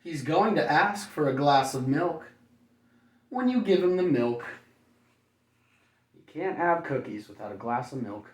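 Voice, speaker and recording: A man's voice: fairly high pitch, lively intonation, a little slow, long pauses. Guy doing a kids story read-aloud, chatty kindergarten teacher type w/ goofy little asides, echoey room, mic kinda far off. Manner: playful, folksy, chatty commentary. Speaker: kindergarten teacher reading a picture book aloud. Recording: roomy echo, distant microphone.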